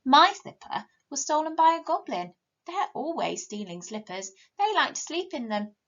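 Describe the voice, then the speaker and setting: A woman's voice: high voice, very animated, normal speed, a bit bright, lo-fi sound, pitched above the performer's own voice, heavily acted. A woman voicing a boastful, bossy young princess from a storybook, breezy, haughty and emphatic. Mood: boastful, brisk. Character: boastful, bossy young princess girl telling tall tales.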